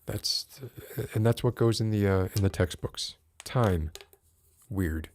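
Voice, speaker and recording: Late-40s guy on his stream, deep low voice, slow and rambly, wry, says hi to chat. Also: darkish tone. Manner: greets viewers in chat by name. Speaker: livestream host. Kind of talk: casual livestream chat.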